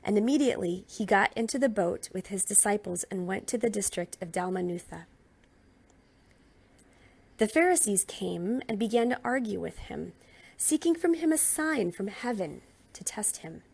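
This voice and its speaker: A woman reading a Bible narrative aloud in an expressive storytelling way. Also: medium pitch, normal speed, creaky, husky. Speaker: scripture reader.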